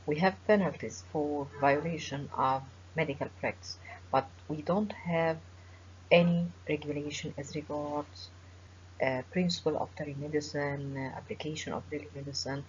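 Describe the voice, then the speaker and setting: A middle-aged woman with an Egyptian accent gives a formal webinar lecture as a professor, speaking in measured phrases with frequent pauses and fillers. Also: deep voice, fairly even intonation. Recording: dull lo-fi webinar audio with faint hiss.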